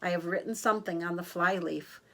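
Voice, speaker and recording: A woman voicing a kindly, bookish old man in a picture book she reads aloud, in a gentle, warm reading voice. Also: on the low side, a little fast.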